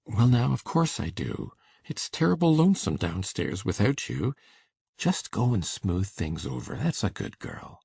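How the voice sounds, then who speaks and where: Woman voicing a timid elderly farmer, deep and raspy, gentle folksy coaxing. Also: very animated, unhurried, dry recording, studio quality, full character voice. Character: shy older farmer man, gentle and awkward.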